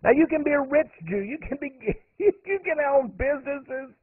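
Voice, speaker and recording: A man's voice: extremely high pitch, animated, normal speed, no room sound. A man hosting a radio monologue, talking emphatically and climbing sharply on the points he stresses. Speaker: radio host. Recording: narrow phone-like radio audio, dry.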